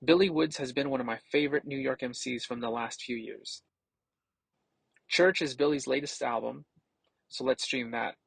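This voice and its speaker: A man narrating a scripted product demo as a tech reviewer, casual and explanatory, with a gravelly, slightly husky voice. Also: medium pitch, fairly even intonation, moderate pace, fairly bright tone, no echo, poor audio.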